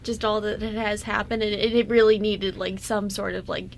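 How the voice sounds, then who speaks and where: A young woman on a radio show, chatting casually in halting phrases with repeated words and many a "like". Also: medium pitch, flat delivery. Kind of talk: casual chat. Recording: noisy, humming amateur radio recording.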